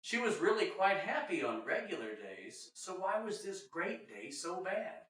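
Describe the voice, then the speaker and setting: Guy reading a rhyming kids story, super lively pitch, echoey, mic far off. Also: unhurried. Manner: theatrical, expressive delivery in sing-song verse. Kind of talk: scripted rhyming children's story narration. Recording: very echoey room, distant mic.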